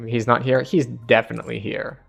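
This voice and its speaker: A man's voice: mid-range voice, little pitch movement, brisk, vocal fry, soft background music. A man streaming a game, making offhand, joking asides as he reads along. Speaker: livestreamer playing a game. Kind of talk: casual commentary over gameplay.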